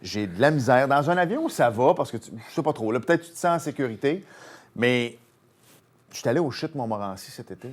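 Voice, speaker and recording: Quebecois guy hosting a sports chat show, talks real fast, gets loud telling stories. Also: mid-range voice, expressive. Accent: Québécois French. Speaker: host. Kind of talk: casual studio chat.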